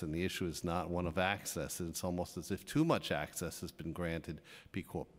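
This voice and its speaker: An older man giving measured testimony at a council hearing, choosing his words carefully. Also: low-pitched. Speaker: task force member testifying. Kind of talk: spoken testimony. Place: large city council chamber. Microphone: desk microphone. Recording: long hall reverb.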